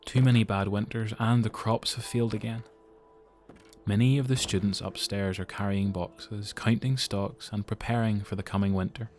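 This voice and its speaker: An actor narrating a post-apocalyptic audio drama as a grave, measured storyteller with a Northern Irish accent. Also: male voice, lowish voice, fairly even intonation, a little mellow, faint music behind, close to an everyday voice. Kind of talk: scripted narration.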